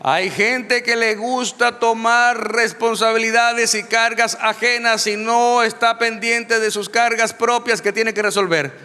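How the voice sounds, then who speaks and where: A middle-aged man preaching a lively sermon to a church, emphatic and repetitive, with touches of humor. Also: extremely high pitch, a little slow, few pauses, clear, resonant tone, big-room sound. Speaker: pastor.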